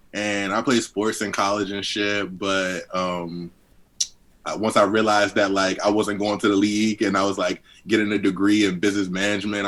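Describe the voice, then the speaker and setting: Deep-voiced young man from D.C. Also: fairly bright tone. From Southeast Washington, D.C. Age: 20s. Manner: laid-back, heavy on fillers, chuckles often. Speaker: guest rapper. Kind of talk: casual interview chat.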